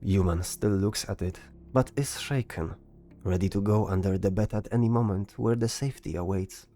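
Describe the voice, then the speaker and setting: A man's voice: lowish voice, normal speed, dark tone, slight background noise. A man reading scripted narration for a fantasy story as its narrator. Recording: music behind the voice. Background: music.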